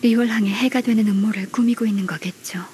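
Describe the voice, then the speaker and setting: A woman's voice: fairly high pitch, fairly even intonation, darkish tone, a little hiss or hum, natural voice. Woman playing a formal, politely stern young secretary. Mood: serious. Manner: formal, measured, politely firm. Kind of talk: Korean anime-style game voice acting.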